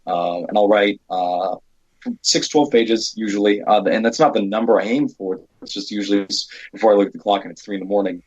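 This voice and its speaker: A man chatting casually as an interview guest about his work as an independent filmmaker, halting and often interrupting himself. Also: fairly low pitch, darkish tone, breathy edge, no echo. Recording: dull lo-fi audio.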